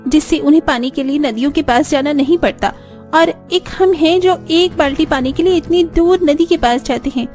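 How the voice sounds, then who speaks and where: Woman acting plaintive farm wife, extremely high-pitched. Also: a little mellow. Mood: weary, complaining. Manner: lamenting, plaintive. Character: weary, discouraged young farming daughter-in-law in a poor village.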